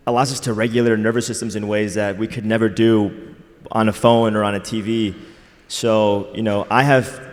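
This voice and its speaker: Low-voiced motivational man in a hall. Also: a little mellow. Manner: motivational, direct, with casual fillers. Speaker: panelist. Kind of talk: conference panel discussion. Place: conference hall. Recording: long reverb of a conference hall.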